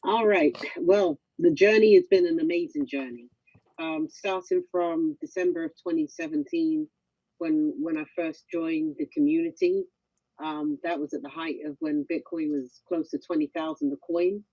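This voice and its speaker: A woman's voice: low-pitched, fairly even intonation, moderate pace, mellow, husky, no echo, highs cut off. A woman calling into a group call by phone, giving an upbeat testimonial full of motivational sayings. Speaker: club member giving testimony.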